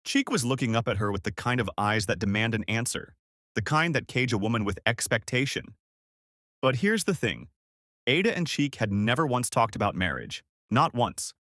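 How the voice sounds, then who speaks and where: A man's voice: medium pitch, big pitch swings, moderate pace, bright, forceful tone, no echo, clean studio sound. A man narrating a scripted story dramatically, with suspenseful, emphatic short phrases.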